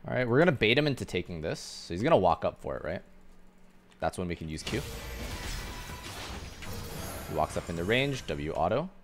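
A man casually explaining his gameplay to viewers as he plays, relaxed and instructive. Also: low voice, brisk, long pauses, soft background music. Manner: instructive, relaxed, talks over his own play.

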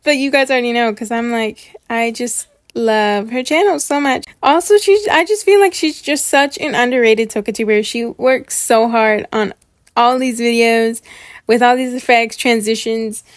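A woman hosting a casual, chatty reaction video, gushing and excitable, often repeating words for emphasis. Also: on the high side, moderate pace, no room sound, studio quality. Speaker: host of a reaction video series.

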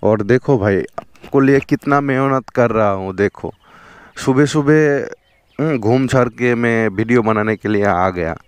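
A man's voice: medium pitch, unhurried, clean tone, no room sound. A young man with a Bengali accent, whose first language is Bengali, chatting casually while riding a motorbike along a riverbank, with an action camera on him. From West Bengal, India. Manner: chatty, repeats "theek hai" and "dekho". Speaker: motovlogger. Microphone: helmet or chest action camera.

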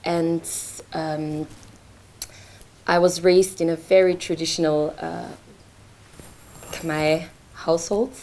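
Young woman artist, slow halting talk with fillers, lowish voice, presenting in a lecture hall. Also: fairly even intonation, a little mellow. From Belgium. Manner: hesitant, many fillers, gestures while talking. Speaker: artist presenting her work. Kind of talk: informal talk at a launch event. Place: lecture theatre, indoors.

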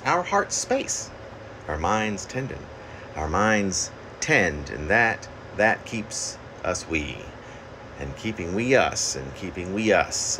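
A man reading his own poem aloud, haltingly, repeating phrases like an incantation, recorded on a phone in a room at home. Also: low voice, background noise. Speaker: poet reading own work. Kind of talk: poetry reading. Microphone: phone at arm's length.

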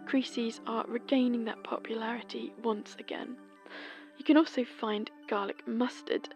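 A woman from Britain, host of a folklore podcast, reading a scripted seasonal almanac in a gentle, whispery way. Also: a little high.

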